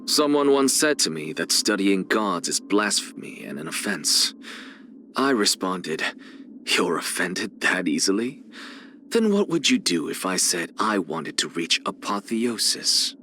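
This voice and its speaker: An arrogant male scholar from a video game, lecturing with cool contempt. His voice sits fairly low yet has a crisp, forceful edge, and it swings theatrically in pitch as he takes his time over each phrase. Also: sing-song, slight background noise, exaggerated voice. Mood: defiant. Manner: haughty, deliberate, theatrical, lecturing.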